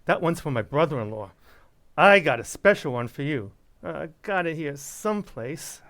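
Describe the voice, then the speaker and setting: An eager, impish neighbor out of a radio comedy, played by a man. His voice bounces around in pitch, full of chummy, playful teasing. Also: lively intonation, moderate pace, fairly bright tone. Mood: chatty. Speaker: actor in community radio theater. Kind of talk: old-time radio sitcom acting.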